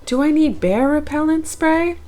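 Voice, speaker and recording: A woman's voice: sits high, animated, moderate pace, warm tone, pitched above the performer's own voice. A woman voicing an easily scared male bear in a children's storybook, fretful and full of worry. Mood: worried, fretful. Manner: fretful, listing worries and denying fear.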